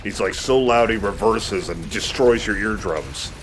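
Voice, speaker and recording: A man's voice: on the low side, a little slow, soft background music, rough recording. A man giving casual, dryly joking commentary on a video game, with informal wording and some mild swearing. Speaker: let's play host. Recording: clear background noise.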